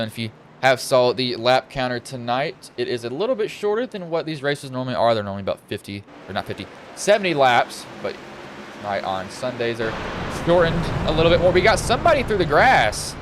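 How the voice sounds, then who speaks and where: A young man with a slightly deep voice commentating a sim truck race live, casual and rambling, getting excited when cars wreck. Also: brisk, slight background noise. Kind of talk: live sim racing play-by-play.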